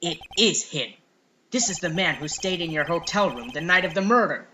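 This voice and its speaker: A man's voice: fairly high pitch, animated, normal speed, heavy background noise, natural voice. A man voicing an exasperated, determined young defense lawyer in a video game fan dub, with wry asides and emphatic outbursts. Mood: determined, forceful.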